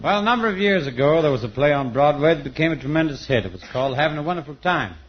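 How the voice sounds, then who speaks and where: Man, radio comedy host, fairly high voice, animated intonation, muffled vintage sound. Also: rapid-fire. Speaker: comedy show host, interviewer. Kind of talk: 1950s radio comedy hosting, straight man. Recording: very noisy, muffled old radio recording.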